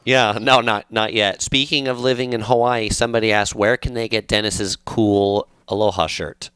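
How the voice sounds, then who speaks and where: Upbeat host with a low smooth voice. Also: male voice, steady tone, moderate pace, a bit bright, no room sound. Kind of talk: casual interview hosting. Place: home studio, indoors. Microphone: headset mic.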